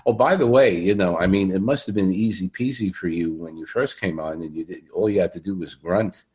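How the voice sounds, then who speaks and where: A man's voice: deep voice, a little fast, a little mellow. A man in his sixties interviewing casually, rambling and repeating his phrases as he starts. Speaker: podcast interviewer.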